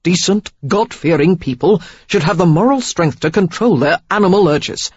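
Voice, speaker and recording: Brief: woman doing an outraged, straitlaced young suitor, husky and clipped. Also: on the low side, animated, moderate pace, darkish tone, no echo. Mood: stern, outraged. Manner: stern, clipped and reproachful. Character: stern, prudish young man, easily outraged. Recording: slightly dull lo-fi recording.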